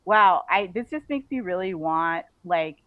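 A woman being interviewed on a casual podcast, telling stories in an animated way and acting out bits of dialogue. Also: on the low side, unhurried, no echo, narrow, tinny audio. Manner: animated storytelling, quotes imagined dialogue, giggly. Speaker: author, interview guest.

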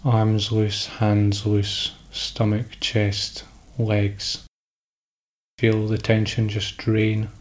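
A man leads a guided sleep meditation. His voice sits on the low side and stays extremely level, with almost no melodic movement. He gives calm instructions in short phrases, leaving pauses between them.

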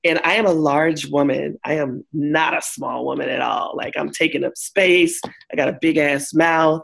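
Woman, 40s, deep voice; frank, expressive interview guest. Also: normal speed, vocal fry, breathy edge. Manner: frank and expressive, switches into lively quoted voices. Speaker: guest, works with couples. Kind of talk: candid conversational interview.

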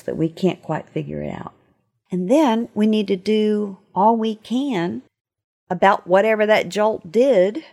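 A woman gives a short faith lesson to young listeners. Her voice is medium in pitch with a husky edge. She explains things expressively, often putting questions to the listener. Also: a little mellow. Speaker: author giving a short lesson. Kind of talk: gentle devotional talk to children.

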